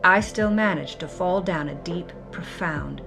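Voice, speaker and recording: A theatrical, jaded movie star, music behind. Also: female voice, on the low side, measured pace, gravelly. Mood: weary suffering. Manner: theatrical, confessional. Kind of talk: dramatic first-person monologue.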